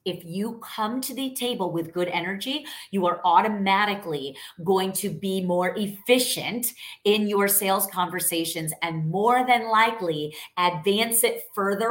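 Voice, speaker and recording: Loud, energetic New woman coach. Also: mid-range voice, unhurried. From New York. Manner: loud, energetic, emphatic, asks the audience questions. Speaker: sales coach. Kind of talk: live-stream coaching talk.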